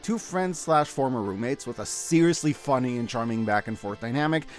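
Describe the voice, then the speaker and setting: A man narrating a scripted review video as its host, in a casual, wry and expressive way. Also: mid-range voice, fairly quick. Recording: background music under the voice. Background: background music.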